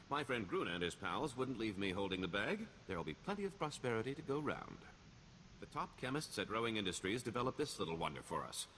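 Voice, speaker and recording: An actor playing a smooth-talking, self-assured con man in a video game, with wry, wheedling salesman patter. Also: on the low side, fairly bright tone, slight background noise. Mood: glib, ingratiating. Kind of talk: video game dialogue acting.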